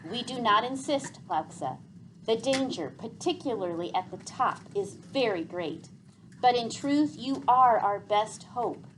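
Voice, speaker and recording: A woman voicing a grave, courteous male elder in a storybook, anxious and reluctant, with hushed formal phrasing. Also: fairly high pitch, lively intonation, measured pace, noisy background.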